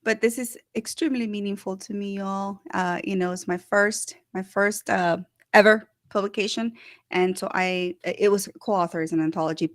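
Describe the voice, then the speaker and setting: Woman host from El Salvador, bubbly, chatty, dry close mic, mid pitch. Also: steady tone, dry recording. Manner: bubbly, laughs often, fast with restarts. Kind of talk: casual online show chat.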